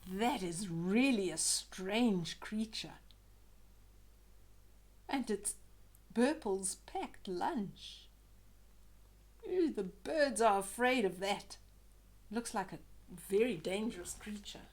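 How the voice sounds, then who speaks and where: A woman's voice: medium pitch, expressive, slow, long pauses. An older woman reading a children's story aloud, expressively, with chatty asides to young listeners.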